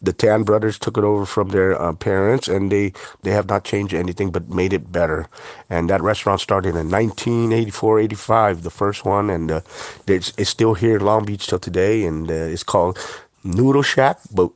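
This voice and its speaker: A man's voice: deep voice, normal speed, no echo. A middle-aged man who grew up in Cambodia speaking Khmer first, a chef and author talking earnestly in an interview, with many fillers. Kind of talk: interview conversation.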